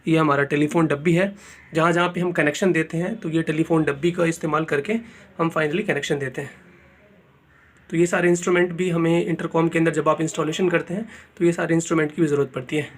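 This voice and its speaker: High-pitched man giving a technical tutorial. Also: steady tone, quick pace. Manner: explanatory, repeats points, mixes in English technical terms. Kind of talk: spoken hands-on technical tutorial.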